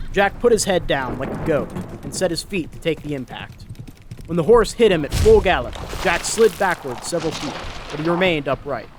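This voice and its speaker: A man's voice: on the high side, noisy background. A man narrating a dramatic short story from a script.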